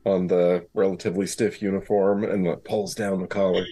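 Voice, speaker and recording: A man's voice: low-pitched, flat delivery, moderate pace, mellow. A man playing a tabletop game, moving between casual narration and theatrical in-character lines.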